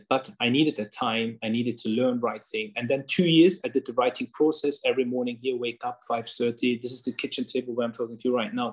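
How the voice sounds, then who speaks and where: A man whose first language is German, talking earnestly in a casual interview from his kitchen table, with frequent fillers and restarts. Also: mid-range voice. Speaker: author and guest.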